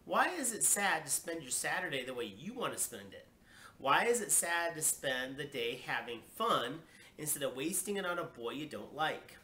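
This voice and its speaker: A woman's voice: low voice, sing-song, moderate pace, pushed and bright, echoey room, heavily acted. A woman voicing a stern, baffled father who questions his young daughter emphatically in a storybook. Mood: coaxing.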